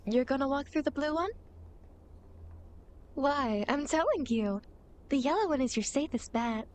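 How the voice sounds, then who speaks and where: A woman's voice: sits high, animated, measured pace, a little mellow, slight background noise. A woman playing a playful, teasing demon girl who guides dead souls, in a coy, dramatic ASMR roleplay. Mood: coy, coaxing. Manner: coy, mischievous, mock-sympathetic, dramatic. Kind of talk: ASMR roleplay, acted monologue to the listener.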